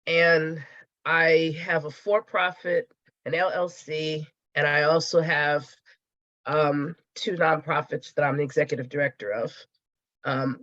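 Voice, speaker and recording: A woman grant writer introducing herself on a call, congested from a cold, speaking in a slightly halting way with fillers. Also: sits low, fairly bright tone, no room sound. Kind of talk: casual self-introduction on a call. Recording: dull, dry sound.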